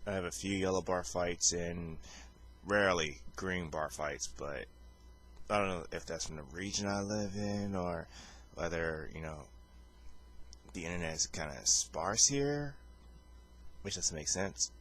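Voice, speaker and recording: Male game commentator, very low voice, rambling and halting, casual and unscripted. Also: a bit bright, low-quality recording. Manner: rambling, hesitant, many fillers and restarts; calls his own voice boring. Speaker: gameplay commentator.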